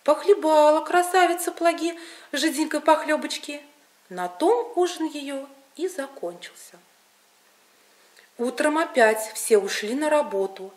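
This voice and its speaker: A woman storyteller reading a scripted folk tale in an expressive, deliberate way. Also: sits high.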